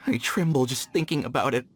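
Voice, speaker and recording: An actor playing an anxious, stammering mine worker in a game. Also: male voice, medium pitch, a little hiss or hum, natural voice. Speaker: miner.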